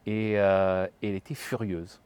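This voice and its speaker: A man's voice: low-pitched, flat delivery, moderate pace, darkish tone, smooth. A French man speaking as a guest in a casual interview, thoughtful and hesitant, often restarting his sentences. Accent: slight French accent in English. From France. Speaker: guest, HR professional.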